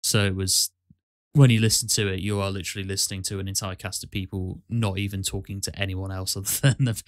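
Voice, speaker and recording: A man hosting a casual podcast, explaining things in a halting, conversational way. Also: deep voice, level tone, no echo, studio quality. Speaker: podcast host and amateur voice actor.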